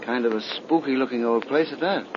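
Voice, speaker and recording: A man's voice: mid-range voice, fairly quick, lightly acted. A man playing a nervous, impressionable young man in a 1930s radio horror drama, with an anxious, theatrical delivery. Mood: uneasy, musing. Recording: muffled, noisy 1930s radio recording.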